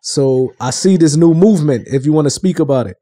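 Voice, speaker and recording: Male co-host for casual chat podcast: mellow, slightly raised pitch, informal street-casual phrasing. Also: brisk. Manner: informal, slangy.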